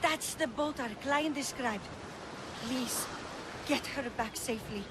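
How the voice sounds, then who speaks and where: A woman's voice: high voice, unhurried, heavy background noise. A woman playing a worried, devout and caring woman in a cinematic video game. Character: worried Greek woman, devout and caring toward her companions. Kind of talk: cinematic game dialogue acting. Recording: loud music behind the voice.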